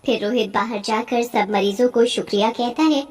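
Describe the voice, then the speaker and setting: A woman's voice: on the high side, moderate pace, darkish tone. A woman storyteller reading scripted narration for a cartoon story.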